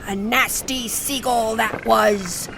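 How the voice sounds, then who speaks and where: A woman's voice: on the high side, fairly even intonation, slow pace, gravelly, noisy background. A woman playing an eager, scrappy first mate pirate dog in a comic audio drama, brash and folksy. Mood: brisk, dutiful.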